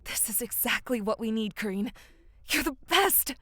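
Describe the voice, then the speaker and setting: An actress playing a loyal, feisty young woman fighter in a visual novel game, with expressive, emphatic delivery. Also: on the high side, normal speed. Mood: excited.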